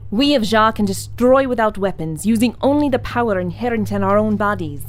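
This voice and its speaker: An actress playing a powerful, solemn alien woman in a pulp science fiction radio drama, speaking in a measured, formal way. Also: mid-range voice, darkish tone, close to an everyday voice.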